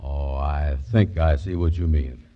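An actor playing a slow-witted, folksy schemer in 1940s radio dialect comedy, hesitant and stammering, mangling his words. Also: a bass voice, muffled recording. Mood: puzzled. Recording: noisy old 1940s radio recording.

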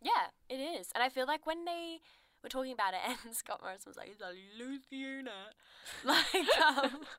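Bright, giggly young Australian woman. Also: sits high, lively intonation, normal speed, no echo. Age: young adult. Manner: giggly, chatty, lots of "like". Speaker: podcast co-host. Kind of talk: casual chat.

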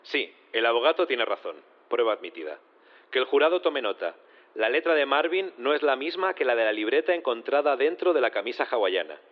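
An actor playing a businesslike judge in a sci-fi audio drama, measured and official. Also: male voice, medium pitch, sing-song, phone-quality sound, close to an everyday voice. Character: formal, businesslike male judge in a sci-fi court.

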